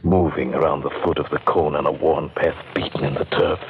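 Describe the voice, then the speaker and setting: A spellbound gentleman narrator in an old radio horror play, deep voice, measured and dramatic, lots of hiss and noise. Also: steady tone. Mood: tense wonder. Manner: literary, dramatic, measured storytelling. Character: earnest gentleman narrator recounting eerie events, increasingly spellbound. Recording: muffled, noisy old radio recording.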